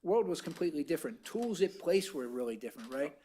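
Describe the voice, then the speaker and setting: A man's voice: a little high, big pitch swings, fast, dark tone, a little hiss or hum. Man explaining, ends lines with "right?". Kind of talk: meeting discussion.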